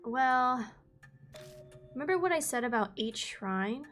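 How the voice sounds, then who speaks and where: A woman's voice: high voice, lively intonation, measured pace, a bit bright, soft background music, pitched above the performer's own voice. A woman voicing a confident young female reporter who speaks eagerly and asks a lot of questions.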